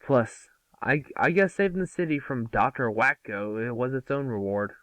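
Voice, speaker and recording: A man's voice: medium pitch, normal speed, fairly bright tone, no room sound, lightly acted. Man as a cocky, wisecracking boy hero. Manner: brash, teasing. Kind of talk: cartoon table-read acting.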